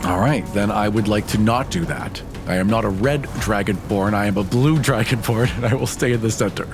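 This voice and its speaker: A man's voice: on the low side, a little fast, vocal fry. A man playing a tabletop game, casually describing his moves and calling out dice results. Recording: music bed under the voice.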